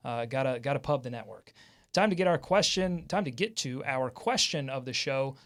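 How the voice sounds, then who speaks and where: A man hosting a sports podcast in a jokey, informal way, talking in a lively style. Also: medium pitch, normal speed. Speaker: podcast host.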